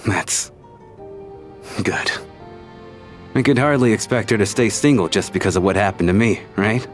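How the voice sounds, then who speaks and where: Man voicing a weary, low-voiced wandering soul. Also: close to an everyday voice. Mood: relieved, bittersweet. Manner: wistful, resigned, plain-spoken. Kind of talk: English game dub voice acting. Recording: music under the voice.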